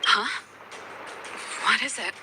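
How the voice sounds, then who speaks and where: A woman's voice: on the high side, takes it slowly, background noise. An actress playing a passionate, wounded young woman researcher in a video game, with dramatic, emotional delivery. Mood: puzzled, uneasy.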